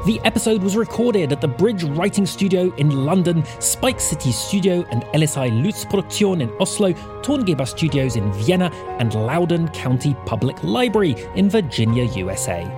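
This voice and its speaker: A podcast announcer reads scripted credits with a very lively, varied pitch. The voice sits at a medium height, with music clearly playing underneath. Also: normal speed, hardly any pauses.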